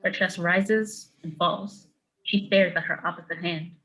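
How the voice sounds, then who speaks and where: A woman serves as narrator for a script reading, delivering stage directions. Her voice is on the low side for a woman, with a bright, forward edge. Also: steady tone, unhurried, rough recording.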